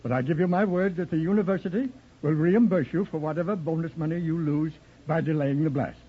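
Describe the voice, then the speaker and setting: Formal courteous dean, husky, highish, lilting, vintage radio drama, dull sound. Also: male voice, fairly quick. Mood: formal, earnest. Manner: formal, stately. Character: courteous, dignified male university dean. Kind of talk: 1940s radio drama acting. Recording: dull old radio recording.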